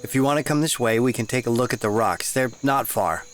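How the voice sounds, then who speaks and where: An actor playing a polite, inquisitive geologist asking questions. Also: male voice, medium pitch, brisk, a little hiss or hum, close to an everyday voice. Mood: earnest.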